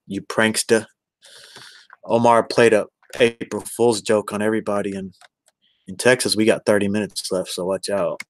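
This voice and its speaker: A man's voice: fairly low pitch, no room sound. An American man in his forties chatting casually on a livestream, rambling and addressing viewers one by one. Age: 40s. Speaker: live streamer.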